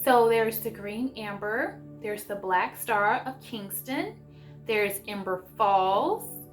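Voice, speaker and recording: A woman's voice: medium pitch, animated, takes it slowly. A woman vlogging as a homeschool mom, talking casually and reading aloud in an enthusiastic, chatty way. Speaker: homeschool mom sharing book picks. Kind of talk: casual vlog chat with read-aloud passages. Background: music.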